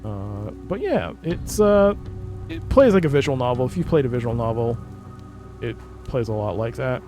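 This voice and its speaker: A man with a mid-pitched voice talks through a video game in a casual, explanatory way. He moves between informal chat and reading game text aloud, with music clearly playing behind him. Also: big pitch swings, normal speed, warm tone. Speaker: gaming video creator.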